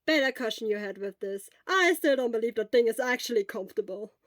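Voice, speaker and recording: A woman putting on a loud, breezy young hero teacher. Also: on the high side, animated, fairly quick, no echo, clean studio sound, lightly acted. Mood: teasing. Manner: raised bright casual voice.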